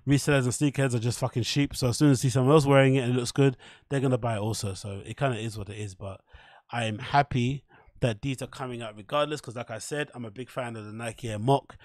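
A man's voice: medium pitch, dry recording, clean studio sound. A man hosting a livestream, giving casual, opinionated commentary with slang and swearing. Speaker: host of a livestream show. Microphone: close desk microphone.

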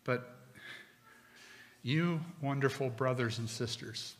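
A middle-aged man preaching a sermon from a pulpit, slow and deliberate with emphatic pauses. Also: medium pitch, a little hiss or hum. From United States. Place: church sanctuary with stone wall, at a pulpit. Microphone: pulpit microphone. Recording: long hall reverb.

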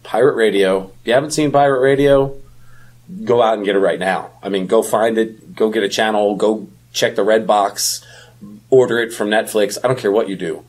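A middle-aged man chatting casually about films as a podcast co-host, talkative and quick to laugh. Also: on the low side, fairly even intonation, darkish tone.

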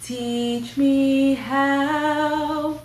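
A woman's voice: high voice, steady tone, very slow, a little hiss or hum. A woman in her thirties singing a hymn without accompaniment. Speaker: singer. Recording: roomy echo on the voice.

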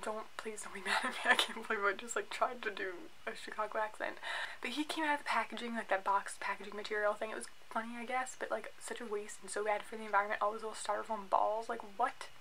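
Creaky, bright-voiced young American woman vlogger. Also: medium pitch, fast talker, slight background noise, rough recording. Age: 20s. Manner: opinionated, animated, filler-heavy with "like". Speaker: YouTube vlogger reviewing a reality show. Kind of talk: casual chatty vlog commentary. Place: small indoor room at home, by a bookshelf.

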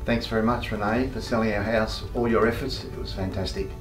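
A man's voice: on the low side, fairly even intonation, brisk. An older man with an Australian accent giving a brief, plain thank-you at home. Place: indoors at home. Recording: echoey room with music.

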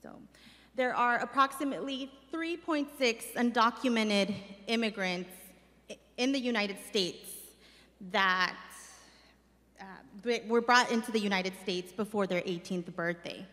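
Young woman from Mexico, organizer giving a read speech; slightly high voice, long hall reverb. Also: measured pace, fairly bright tone. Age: young adult. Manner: earnest, reading from notes with some restarts. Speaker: community organizer. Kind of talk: reading a personal speech at a summit. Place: large hall stage. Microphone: stand mic.

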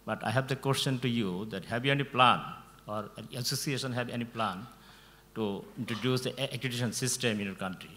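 A man's voice: medium pitch, fairly quick, bright, forceful tone. A man with a Bangladeshi accent asking a question from the audience at a conference. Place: large conference hall audience. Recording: noisy, humming reverberant auditorium.

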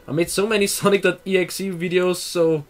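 Excitable young Austrian gamer on headset. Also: male voice, high-pitched, a little fast. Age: young adult. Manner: excitable, swearing, laughing, reads game text aloud. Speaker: let's play host. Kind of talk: gaming commentary. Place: small room at a computer. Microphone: headset mic.

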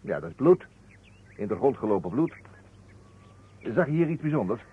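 An actor playing a shrewd, unhurried police chief detective in an old radio drama, measured and probing. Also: male voice, medium pitch, sing-song, husky. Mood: musing, probing. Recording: muffled, narrow-band vintage radio recording.

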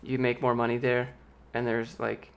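A man's voice: moderate pace, creaky, smooth. Young man with a light, youthful voice. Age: late 20s. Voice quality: youthful, light voice that sometimes squeaks. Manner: relaxed, rambling, reads viewer questions aloud. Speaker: streamer answering chat. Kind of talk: casual live-stream chat. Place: small home office, indoors. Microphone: large studio mic with pop filter, close.

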